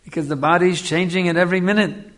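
A man's voice: sits high, fairly even intonation, fast, fairly bright tone. A man giving a spiritual lecture in a didactic way, repeating phrases for emphasis and checking in with his listeners. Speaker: spiritual teacher giving a discourse. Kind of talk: Hare Krishna style lecture on scripture.

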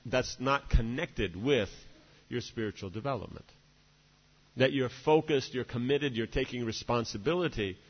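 A man giving a devotional lecture, rambling along and checking in with "right?" and "you know" between his points. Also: medium pitch. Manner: discursive, many "you know" and "right?" asides. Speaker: spiritual teacher. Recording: dull reverberant hall recording.

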